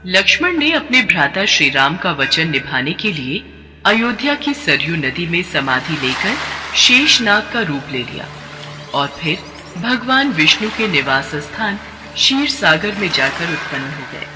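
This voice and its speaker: A man narrating a devotional story in a steady, expressive way. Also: fairly high pitch, unhurried. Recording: long reverb over background music.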